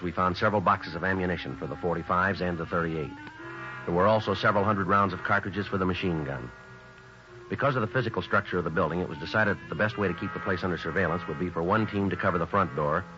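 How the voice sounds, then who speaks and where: A man playing a stern, businesslike police sergeant who narrates a 1950s radio drama in a terse, deadpan way. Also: low-pitched, lightly acted. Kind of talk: 1950s radio acting, clipped procedural narration. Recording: dull 1950s radio recording with music.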